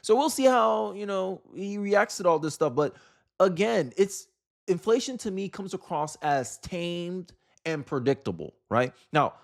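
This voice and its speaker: A man's voice: high voice, lively intonation, a little slow, gravelly. A man giving casual, opinionated political commentary in an incredulous, rhetorical way, repeating phrases for emphasis.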